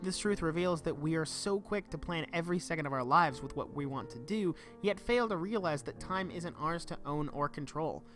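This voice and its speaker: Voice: mid-range voice, moderate pace, few pauses, fairly bright tone. A young adult giving an earnest, reflective scripted narration for a video essay. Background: background music.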